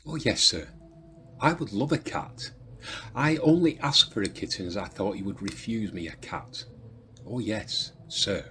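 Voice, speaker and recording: A deranged, fawning asylum patient for radio. The voice is rather low with a sharp, tense edge. He wheedles greedily, over faint noise. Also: animated, measured pace. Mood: greedy, wheedling. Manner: fawning, relishing words. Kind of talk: radio drama acting.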